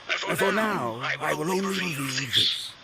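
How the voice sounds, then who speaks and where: A man's voice: on the high side, lively intonation, unhurried, creaky, very noisy. A man voicing a self-important, indignant scholar in a video game, with a pompous, emphatic delivery. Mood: boastful. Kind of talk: English video game voice acting.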